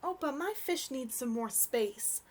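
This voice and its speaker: Storybook heroine: small curious girl, gentle and wistful, high lilting voice played by a woman. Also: expressive, unhurried. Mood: earnest.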